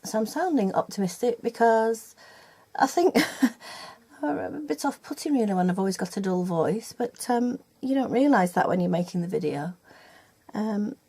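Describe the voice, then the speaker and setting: An older woman talks through a craft lesson at home. Her voice is slightly husky, and she rambles in a friendly way, full of ums, uhs and little self-corrections. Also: mid-range voice, animated, moderate pace, mellow. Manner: chatty, hesitant, with many ums and self-corrections. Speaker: felting teacher. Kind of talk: casual video tutorial. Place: home room, upstairs.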